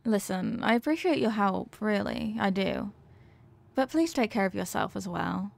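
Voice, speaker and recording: A woman's voice: medium pitch, lively intonation, normal speed, gravelly. A woman playing an earnest, formal young scientist who is fond of her test subject, in a scripted roleplay with precise, clinical wording. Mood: caring. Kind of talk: scripted roleplay addressed to the listener.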